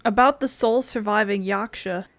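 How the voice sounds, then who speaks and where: A woman's voice: mid-range voice, moderate pace. A woman hosting a gaming video, talking and reading along casually over a playthrough. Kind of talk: casual game playthrough commentary.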